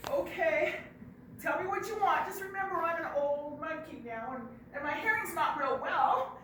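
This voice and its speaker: A chirpy, high-voiced old monkey lady , as a woman storyteller puts her on, hard of hearing and keen to help. She rings out in an echoey room, heard from far away, with faint noise behind. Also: lively intonation, normal speed, a bit bright. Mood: obliging.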